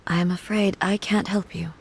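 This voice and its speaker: An actress playing a solemn, regal goddess mourning her sister in a video game, speaking in a soft, hushed, measured way. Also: on the low side, fairly even intonation, vocal fry, slight background noise. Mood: sorrowful.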